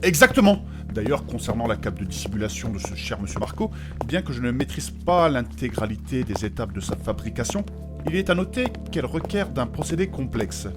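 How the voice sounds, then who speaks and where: A man's voice: medium pitch, very animated, a little fast, loud music behind the voice, lightly acted. A man playing a courteous, learned mage in a fantasy audio drama, speaking in formal, precise, long-winded sentences. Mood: explanatory, earnest. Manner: formal, precise, pedantic phrasing with polite address.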